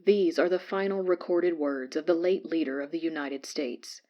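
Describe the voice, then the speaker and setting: Low-pitched, sober woman acting a radio newscaster. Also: normal speed, highs cut off, lightly acted. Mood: sober, formal. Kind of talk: scripted news report in audio drama.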